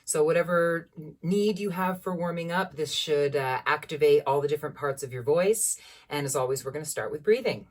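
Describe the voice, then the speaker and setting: A woman in her thirties with a low-placed speaking voice, leading a singing warm-up at home in an encouraging, instructive way. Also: fairly quick, recorded from a distance. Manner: encouraging, instructive, demonstrates vocal sounds. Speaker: voice teacher. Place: small room at home with a piano.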